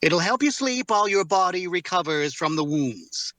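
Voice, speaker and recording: Man playing a bright-voiced, composed, menacing captor. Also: high-pitched, expressive, no room sound. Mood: calm, controlled. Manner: measured, formal, precise phrasing. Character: composed, faintly menacing male captor tending his prisoner. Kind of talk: audio drama table read.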